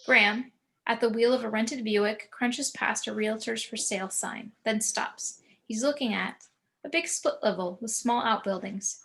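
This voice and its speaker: A woman reading screenplay action and scene descriptions in a measured, descriptive manner. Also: a little high, gravelly, a bit bright. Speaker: narrator.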